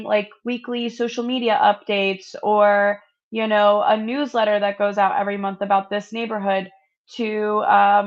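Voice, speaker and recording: A woman co-hosting a real estate webinar, chatty and relaxed, her voice a little hoarse from a cold. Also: medium pitch, highs cut off. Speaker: marketing co-host. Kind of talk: casual webinar chat.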